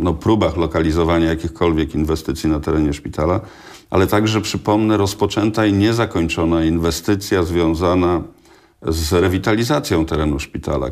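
Studio guest, man in his 60s, very low voice, slow measured answers full of hesitations. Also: darkish tone. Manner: measured, hesitant, many filler sounds. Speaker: hospital director, studio guest. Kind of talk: radio studio interview answers. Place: small TV studio.

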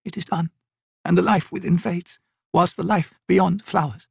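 Brief: ancient wisewoman, grieving and bitter, ragged high voice, breathy, dry booth. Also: fairly even intonation, moderate pace, no echo. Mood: resigned. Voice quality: cracked, ragged.

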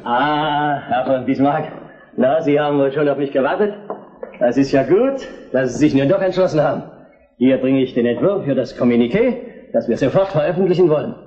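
An actor playing a courtly, formal Saxon minister who takes offence, in a historical radio play. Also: male voice, medium pitch, a little fast, warm tone, lightly acted. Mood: affable. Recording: dull lo-fi drama recording.

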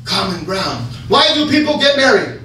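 A man preaching a sermon in a church, fervent and often shouting as the congregation answers him. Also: high voice, sing-song, moderate pace. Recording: echoey church room, from far off, faint hum.

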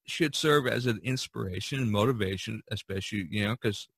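Bright-voiced man hosting a podcast. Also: lowish voice, a little fast, gravelly, husky, no echo. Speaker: podcast host. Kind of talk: casual podcast conversation.

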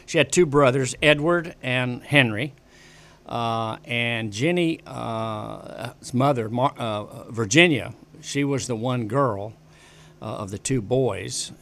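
Older man, studio interview guest, rambling storyteller, lots of fillers and restarts, desk mic, average pitch. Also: slow, gravelly, a bit bright, slight background noise. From western United States. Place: small studio with bookshelves. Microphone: desk broadcast mic on boom arm.

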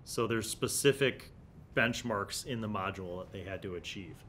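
A man's voice: lowish voice, normal speed, fairly bright tone, a little hiss or hum. A middle-aged man hosts a casual review, musing in stop-and-start phrases and reading excerpts aloud into a desk mic. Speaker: host of a tabletop gaming review series. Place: small indoor studio room. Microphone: desk microphone in front of him.

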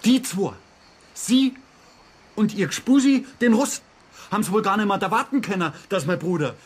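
A man's voice: sits high, moderate pace, husky. An actor playing a spiteful, defensive man with a Bavarian accent under police questioning in a radio crime drama, brusque and indignant. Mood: heated, spiteful. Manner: brusque, indignant, self-justifying. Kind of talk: naturalistic German radio crime drama acting.

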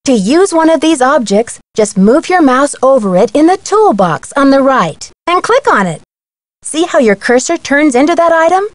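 High, lively young detective heroine. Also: female voice, moderate pace, no room sound. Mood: chipper, instructive. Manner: friendly, clear instructional delivery. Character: young female amateur detective, chipper, helpful, confident. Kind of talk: scripted video game tutorial narration.

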